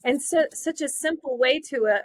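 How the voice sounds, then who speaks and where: A woman's voice: high voice, normal speed, a little hiss or hum. A woman co-hosting a podcast, introducing the guest with flowery, effusive phrasing and then chatting casually. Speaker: podcast co-host. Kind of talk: reading a show intro, then casual chat.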